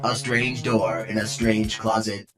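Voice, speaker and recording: A man voicing a precise, dryly boastful robot in a game fan dub, with flat, formal delivery. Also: lowish voice, unhurried, lightly acted, rough recording. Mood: dry, matter-of-fact. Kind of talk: scripted fan dub acting. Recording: music behind the voice.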